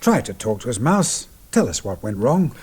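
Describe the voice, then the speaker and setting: An actor playing a mild, fretful middle-aged English gentleman in a period radio drama, courteous and formal, with an English accent. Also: mid-range voice, big pitch swings, normal speed, husky. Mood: gently concerned.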